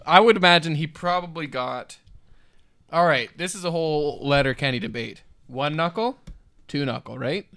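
A man's voice: fairly high pitch, moderate pace, fairly bright tone, no echo. A man in his late twenties co-hosting a casual podcast, telling crude stories and laughing at his own jokes. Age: 20s. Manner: joking, profane, laughs through his stories. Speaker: podcast co-host. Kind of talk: casual chat.

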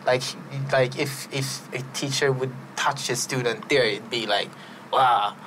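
A man's voice: medium pitch, measured pace, a bit bright, a little hiss or hum, low-quality recording. A man who grew up between Sweden and Barbados, a podcast guest telling his story in an easygoing way and chuckling as he talks. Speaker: podcast guest, free skier. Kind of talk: relaxed conversational storytelling.

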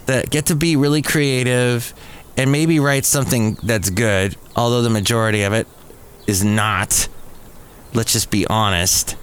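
A man with a mid-pitched voice talks as a podcast host, going through entertainment news with wry, opinionated commentary. Background noise and ambience sit behind his voice. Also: fairly bright tone.

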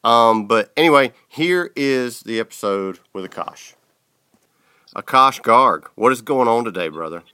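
Laid-back podcast host, bright voice. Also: male voice, medium pitch, unhurried, clear, resonant tone, dry recording, clean studio sound. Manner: relaxed, filler-heavy Southern talk. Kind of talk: casual interview chat.

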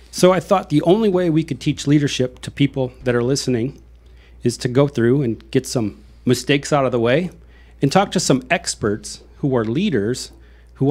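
A man's voice: medium pitch, darkish tone. A man hosting a leadership consulting podcast, chatting casually and introducing his guests. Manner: upbeat, conversational introductions. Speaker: podcast host. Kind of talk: casual interview.

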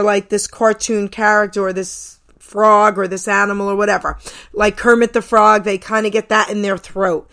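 Female radio host, slightly high, gushing and eager, casual interviewer. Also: a bit bright. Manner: enthusiastic, gushing reactions. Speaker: talk show host.

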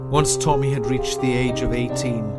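A man's voice: mid-range voice, fairly even intonation, normal speed, lightly acted. A man playing a grave wartime narrator, reading scripted narration in a radio play. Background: loud piano music.

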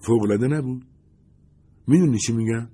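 A man's voice: mid-range voice, warm tone, husky, pitched above the performer's own voice, lightly acted. A man voicing a talkative, glamorous woman who gushes and wants to be liked. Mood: eager. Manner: gushing, eager to please.

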